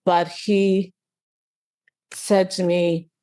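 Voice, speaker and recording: A woman arguing in court as a defense attorney, halting and repeating words as she goes. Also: on the low side, level tone, warm tone, smooth, dry recording. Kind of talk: courtroom argument.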